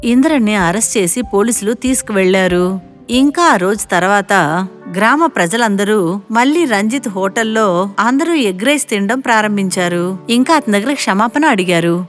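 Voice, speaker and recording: A woman's voice: medium pitch, expressive, moderate pace, faint music behind. A woman reading a scripted story as its narrator.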